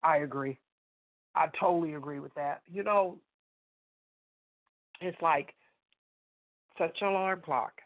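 A woman's voice: sits low, a little slow, pauses often, no room sound. A woman co-hosting a faith talk radio show with a stuffy nose, testifying emphatically and talking straight to listeners. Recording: dry phone-line sound.

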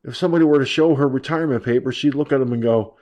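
A man's voice: mid-range voice, racing, a little mellow. A man hosting an online talk radio show, ranting brashly and profanely about politics, his voice stuffed up and nasal from allergies.

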